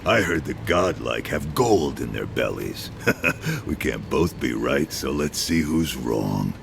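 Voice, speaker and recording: A man voicing a menacing, mocking bandit brute with a gravelly voice in a video game. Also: rumbling low, very animated, slow pace, background noise, heavily acted. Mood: mocking menace.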